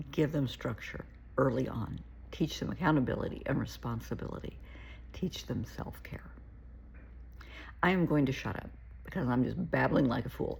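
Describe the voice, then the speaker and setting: A woman therapist giving casual, earnest advice to camera, her voice gravelly and shaky from an oncoming cold, often pleading and repeating herself. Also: extremely low pitch, poor audio. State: getting a cold.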